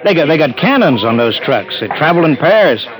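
An actor playing a servile, slangy petty crook who hesitates nervously in an old-time radio drama. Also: male voice, on the high side, sing-song, normal speed, crowd noise, low-quality recording.

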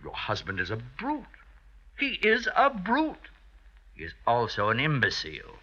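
An actor playing an oily, scheming, falsely deferential family friend in an old-time radio drama, with theatrical, unctuous hesitations. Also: male voice, mid-range voice, huge pitch swings, unhurried, bright, forceful tone, heavily acted. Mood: conspiratorial. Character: oily, scheming, obsequious male friend of the husband. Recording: dull old radio recording.

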